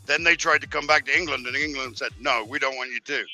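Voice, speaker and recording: A man in casual stream banter, quick and teasing as he tells a story. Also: mid-range voice, sharp tone, rough recording. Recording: faint music behind.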